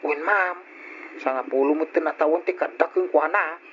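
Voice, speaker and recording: An actor playing a deferential, hesitant household servant in an Ilocano radio drama. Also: male voice, fairly high pitch, moderate pace, fairly bright tone, lightly acted. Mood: nervous deference. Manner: respectful, hesitant. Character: deferential, nervous male household servant Crispin. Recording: dull, noisy old radio recording.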